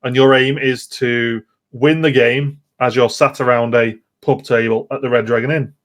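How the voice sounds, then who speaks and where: A man's voice: medium pitch, fairly even intonation, normal speed. A man hosting a casual gaming podcast, talking in a rambling, hesitant way that often repeats words. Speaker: podcast host.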